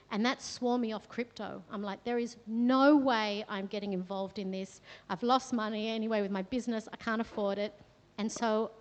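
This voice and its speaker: Australian woman talking in a reverberant hall. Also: mid-range voice. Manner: chatty storytelling with fillers. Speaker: guest speaker. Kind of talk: talk at a meetup. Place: event room with a live audience. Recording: long reverb in a venue hall.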